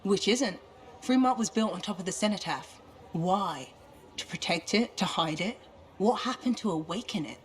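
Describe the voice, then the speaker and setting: A woman's voice: medium pitch, animated, moderate pace, close to an everyday voice. An actress playing a curious, determined female mission specialist in a video game, with a wry, questioning manner. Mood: intent, questioning. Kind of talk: video game cutscene acting.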